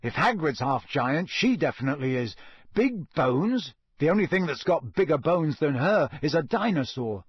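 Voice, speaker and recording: A man's voice: fairly high pitch, very animated, normal speed, slightly rough, no echo, clean studio sound. A man voicing a stubborn, moody teenage boy hero in a storybook, curt and questioning. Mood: skeptical.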